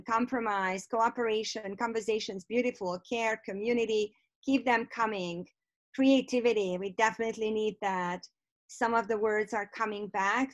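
A middle-aged woman from Hungary with a Hungarian accent, leading an online teacher workshop over a video call and warmly reading out participants' chat replies. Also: fairly high pitch, no room sound. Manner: warm, encouraging, reads out chat replies. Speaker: professor leading a webinar. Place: home office on a video call. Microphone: computer mic on a video call.

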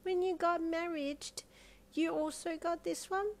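A woman's voice: very high-pitched, fairly even intonation, measured pace, pitched above the performer's own voice. A woman voicing an earnest, bossy and innocent three-year-old girl in a story, with a blunt, emphatic childlike manner. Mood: curious, innocent.

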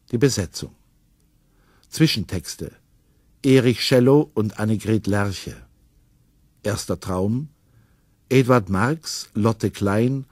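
Formal radio announcer, dry and slow. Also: male voice, fairly low pitch, a little mellow, dry recording. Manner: measured, formal. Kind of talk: reading broadcast credits.

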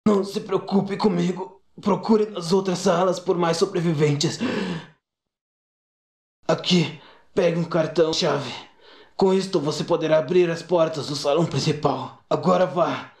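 Homem fazendo policial ferido, voz meio aguda. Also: male voice, on the high side, steady tone, measured pace. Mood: strained, urgent. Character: wounded, selfless male police officer. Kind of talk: fan-dubbed horror game acting. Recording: echoey amateur dub.

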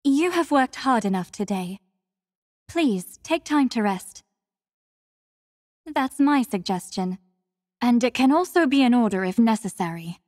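A woman voicing a devout young female warrior in an anime-style game, gentle and solemn, speaking formally and with measured earnestness. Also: on the high side, lively intonation, no echo, clean studio sound. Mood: gentle, polite.

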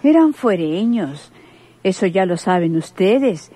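A woman's voice: mid-range voice, sing-song, takes it slowly, dark tone, husky, heavily acted. An actress playing an earnest townswoman giving her testimony in a radionovela. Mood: earnest, indignant. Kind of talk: radionovela acting.

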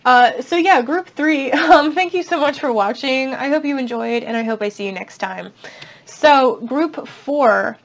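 A young woman giving a casual tarot reading at a table, chatty and reassuring, her voice slightly raspy. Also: sits high, unhurried. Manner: chatty, reassuring, many fillers. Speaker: tarot reader. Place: indoors at a table.

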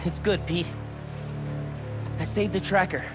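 An actor playing a loyal, concerned young superhero in a video game cutscene. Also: male voice, sits high, fairly even intonation, a little slow, music in the background. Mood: urgent.

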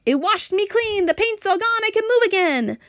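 A woman voicing a boastful, excitable male centipede from a storybook, exclaiming in a singsong, shouting way. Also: extremely high pitch, normal speed, no room sound, dull audio, voice pushed up. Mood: excited. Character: boastful, excitable male centipede, gleeful self-styled pest.

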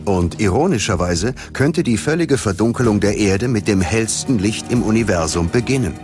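A man's voice: lowish voice, lively intonation, normal speed, music in the background. A man narrating a documentary from a script in a dramatic style.

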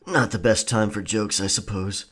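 A man's voice: lowish voice, fairly even intonation, normal speed, fairly bright tone. A man playing a cocky, sly pirate rogue in an audio roleplay, with theatrical, taunting and charming delivery. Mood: wry, pleased. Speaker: ASMR roleplay performer. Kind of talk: pirate ASMR roleplay acting.

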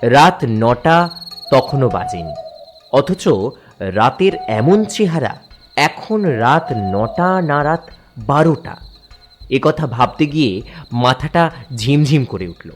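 A man tells a horror tale dramatically at an unhurried pace. His delivery is suspenseful, halting at tense points. Music plays underneath with chirping crickets. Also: mid-range voice, big pitch swings. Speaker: narrator. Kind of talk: dramatic first-person horror story narration.

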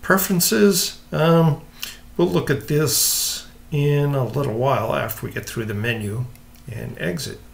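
A man hosting a casual software walkthrough, explaining step by step in an informal, unhurried way. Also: mid-range voice, vocal fry. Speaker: software tutorial host.

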